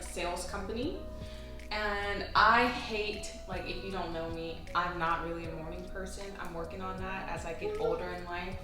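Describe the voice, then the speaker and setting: A woman in her twenties from Florida, chatting casually and laughing often as she hosts a couple's vlog. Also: on the low side. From Florida, United States. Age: 20s. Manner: giggly, chatty. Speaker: couple vlogger. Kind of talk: casual chat. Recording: echoey room, distant mic, music behind.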